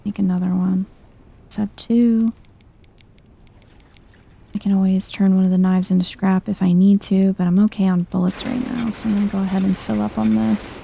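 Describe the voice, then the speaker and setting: Casting: woman, soft-spoken game streamer, mellow with vocal fry, low-key and wry, some background noise. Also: flat delivery, normal speed. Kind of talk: soft-spoken ASMR let's play commentary.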